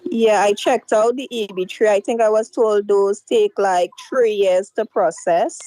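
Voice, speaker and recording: Quick student caller woman from Trinidad. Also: mid-range voice, steady tone, warm tone, dry recording, low-quality recording. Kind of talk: phone-in question.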